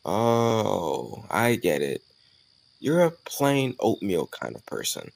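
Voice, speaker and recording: Male actor as cocky college charmer, young, low creaky voice, casual teasing delivery, romantic audio roleplay. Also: wildly swooping pitch, slow pace, full character voice, rough recording. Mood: teasing, curious. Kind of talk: scripted romantic audio roleplay addressed to the listener.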